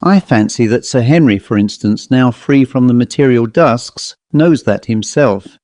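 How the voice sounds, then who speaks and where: A man plays a tranquil, philosophical gentleman in a storybook ghost tale. He reasons slowly and articulately about uncanny things. His voice is mellow and relaxed, in a very dry recording. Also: medium pitch, dry recording, natural voice. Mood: philosophical, assured. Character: calm, philosophical gentleman recounting an uncanny experience.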